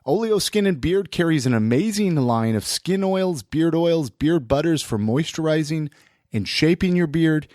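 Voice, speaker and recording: A man's voice: fairly high pitch, animated, moderate pace, a little mellow, no echo, studio quality. An American man hosting a podcast in a casual, chatty style, slightly congested from a head cold. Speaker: podcast host. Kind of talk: casual podcast chat and sponsor reads.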